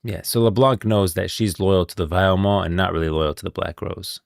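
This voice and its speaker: Male game-lore streamer: very low register with plenty of vocal fry, casual, musing delivery. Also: fairly even intonation, a little fast, no echo, studio quality. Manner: musing, thinking aloud.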